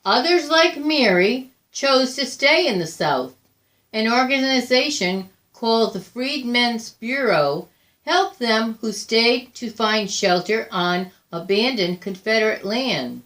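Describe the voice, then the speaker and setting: A woman reading a children's picture book aloud with deliberate, expressive phrasing. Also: a little high. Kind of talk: read-aloud children's storytelling.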